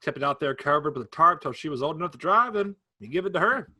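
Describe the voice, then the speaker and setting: A man's voice: fairly high pitch, animated, fast talker, sharp tone, breathy edge, full character voice. A man reading a wry farmer uncle. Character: easygoing middle-aged farmer uncle. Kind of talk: staged play reading.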